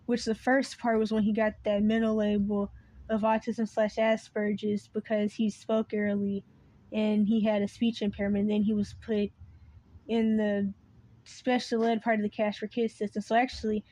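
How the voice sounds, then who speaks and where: A woman's voice: mid-range voice, flat delivery, normal speed, warm tone, low-quality recording. A woman giving an unscripted, rambling monologue to the camera. She often loses her train of thought and starts over, and her voice cracks. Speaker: video creator.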